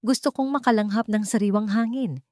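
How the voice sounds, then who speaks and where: A woman with a Filipino accent voicing a gentle, affectionate elderly wealthy lady in a dramatic audio story. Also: mid-range voice, a little fast, dry recording, studio quality, voice pushed up, close to an everyday voice. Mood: gently pleading.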